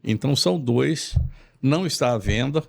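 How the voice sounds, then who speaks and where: A man's voice: medium pitch, animated, moderate pace, gravelly. A man from Bahia hosting a talk show, chatting in a jokey, rambling way. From Bahia, Brazil. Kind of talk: casual talk show chat.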